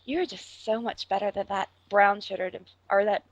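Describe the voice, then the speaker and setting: A woman making a prank phone call, gushing in a breathy, exaggeratedly flirtatious way. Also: medium pitch, fairly even intonation, moderate pace, no room sound. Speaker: prank caller.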